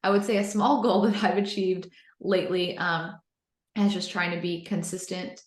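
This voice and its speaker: Lower-pitched American woman in an echoey room. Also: fairly even intonation, moderate pace. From North Carolina, United States. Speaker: interview guest, business founder. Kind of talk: conversational interview answers. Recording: roomy, echoey sound.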